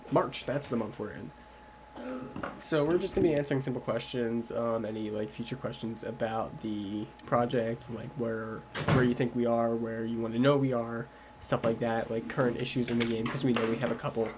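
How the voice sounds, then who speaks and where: A man's voice: medium pitch, fairly even intonation, moderate pace, noisy background. A man who is a little sick, hosting a casual livestream Q&A about his game project and talking in a loose, rambling way. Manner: loose and rambling, with many fillers.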